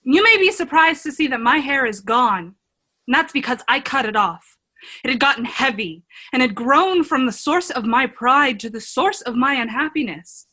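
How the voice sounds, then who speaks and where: A woman's voice: high-pitched, lively intonation, moderate pace, pushed and bright, exaggerated voice. A woman playing a bold, rebellious fairy tale princess in a comedic radio play, earnest and declamatory. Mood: defiant, rousing.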